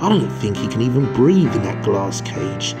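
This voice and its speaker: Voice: mid-range voice, sing-song, moderate pace, close to an everyday voice. A performer voicing a weary, fearful middle-aged man who leads a cult, speaking hesitantly in a motion comic. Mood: fearful, resigned. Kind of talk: motion comic character dialogue. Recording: prominent music under the voice.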